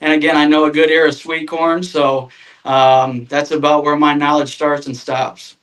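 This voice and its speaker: Casting: thirties man from Northern Indiana, slightly high voice, modest and rambling, casual podcast guest over a call. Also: flat delivery, normal speed, fairly bright tone, low-quality recording. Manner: self-deprecating, lots of fillers and restarts. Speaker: guest, RV sales manager.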